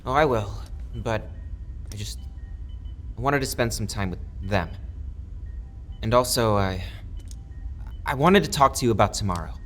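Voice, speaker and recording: An actor playing a troubled, conscience-stricken young man in an audio drama, earnest and halting with a bitter edge. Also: on the low side, expressive, fairly bright tone, slight background noise. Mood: hesitant, troubled.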